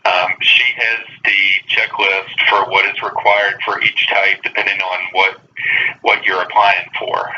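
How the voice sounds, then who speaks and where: Low but really bright forceful guy voice on a far off phone line, careful n halting, bit noisy. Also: flat delivery. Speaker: school human resources director. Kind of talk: official phone conversation. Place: phone call.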